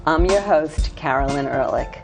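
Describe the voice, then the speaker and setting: A woman's voice: low-pitched, normal speed, vocal fry, over music. A woman hosting a local talk show, interviewing her guest with an eager, gushing manner. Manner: enthusiastic, gushing. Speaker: host. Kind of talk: casual interview chat.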